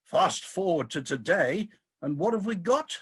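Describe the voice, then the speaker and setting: A woman playing a grumpy, defensive, weary old male god in a comic radio play, husky and indignant. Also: on the low side, lively intonation, unhurried, heavily acted. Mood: indignant nostalgia. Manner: indignant, grumbling.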